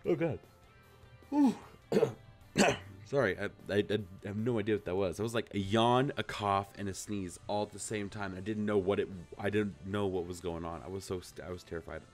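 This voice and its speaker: A man's voice: fairly low pitch, normal speed, faint music behind. A young man with a cold, congested, chatting animatedly and apologetically while reading a game aloud. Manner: animated, self-deprecating. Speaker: let's play host. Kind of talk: commentary while reading a visual novel aloud.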